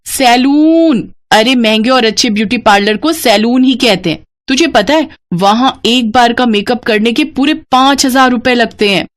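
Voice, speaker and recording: A woman's voice: a little high, normal speed, hardly any pauses, lightly acted. A woman voicing a boastful, snobbish young woman in a story, with a scornful, bragging manner.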